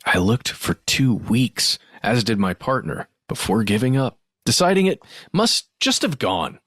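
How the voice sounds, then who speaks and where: Male podcast co-host, mid-pitched, earnest and informal, reads and chats, very dry recording. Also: sing-song, a little slow, fairly bright tone, no room sound. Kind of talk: casual podcast talk and reading listener mail.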